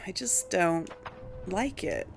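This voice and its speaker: Slow, creaky woman gamer thinking aloud. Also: on the low side, lively intonation. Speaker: host of a game role-play series. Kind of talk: casual gameplay commentary.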